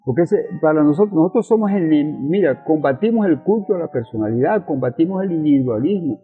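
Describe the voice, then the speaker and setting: Thin, narrow radio sound with music behind an older Venezuelan man. His voice is husky and he explains politics like a lecturer, posing rhetorical questions and repeating his key phrases. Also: on the high side, expressive, fairly quick. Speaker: interviewed communist politician and professor. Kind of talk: conversational political explanation in an interview.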